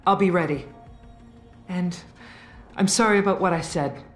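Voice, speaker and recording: An actress playing a wry, guarded female research scientist in a video game, speaking in a measured way. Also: lowish voice, natural voice. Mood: guarded, cooperative. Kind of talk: video game cutscene dialogue. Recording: roomy game cutscene with music. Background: background music.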